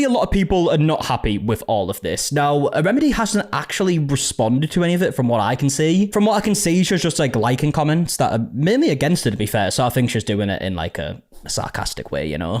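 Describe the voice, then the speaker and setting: Male commentary host, quick and chatty, hedges a lot, mid-pitched. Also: darkish tone. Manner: chatty, hedging, reads out comments. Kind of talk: reaction commentary.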